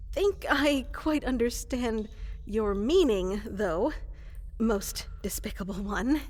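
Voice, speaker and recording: A woman's voice: fairly high pitch, full character voice. A woman playing a fluffy, woolly llama-like creature in a fantasy roleplay, eager to serve as an evil advisor, speaking with flustered, hesitant politeness. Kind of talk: roleplay ASMR character acting. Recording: long reverberant hall echo.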